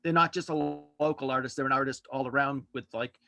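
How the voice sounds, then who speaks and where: A man talking casually as a podcast host being interviewed in a relaxed conversational exchange. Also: medium pitch, fast talker, creaky. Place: indoors at home, on a video call.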